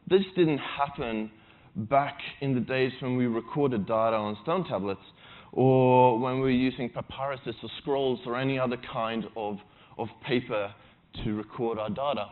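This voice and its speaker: A man's voice: medium pitch, steady tone, normal speed. Swedish-accented male conference speaker, chatty and self-deprecating, thin lo-fi stage recording. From Sweden. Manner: conversational and self-deprecating, frequent restarts. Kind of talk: tech conference talk.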